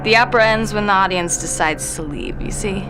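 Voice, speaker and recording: A woman's voice: mid-range voice, a little fast, over music, natural voice. A woman playing a jaded, self-deprecating night-owl composer in an audio drama, rambling bluntly with sardonic, slangy swearing. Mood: eager, defensive. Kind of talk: naturalistic audio drama interview acting. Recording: noisy room recording.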